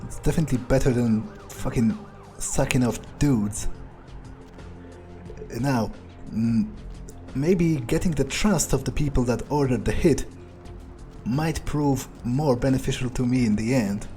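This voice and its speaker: A man playing a cynical, arrogant vampire in a game, musing haltingly to himself with plenty of swearing. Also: mid-range voice, mellow, faint music behind, lightly acted. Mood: calculating. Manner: musing, halting, foul-mouthed. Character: cynical, arrogant, cold-blooded male vampire hitman. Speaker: gamer playing in character. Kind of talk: in-character game playthrough monologue.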